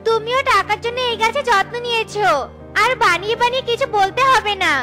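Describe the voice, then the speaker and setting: Woman doing nasty suspicious lady crow, crazy high pitch, snide, kids cartoon, loud music behind. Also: a little fast. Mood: indignant, accusing. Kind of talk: children's cartoon dubbing. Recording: loud children's music underneath.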